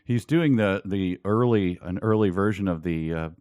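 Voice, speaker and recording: Man, lowish pitch, dry close recording, podcast co-host, casual book chat, deadpan mock-serious humor. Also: moderate pace, no echo. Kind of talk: casual book discussion with read-aloud passages.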